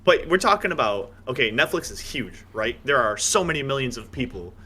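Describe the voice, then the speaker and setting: A man's voice: mid-range voice, very animated, fairly quick, a loud crowd. A man hosting a casual podcast discussion, steering the topics in an emphatic, playful way. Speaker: podcast host.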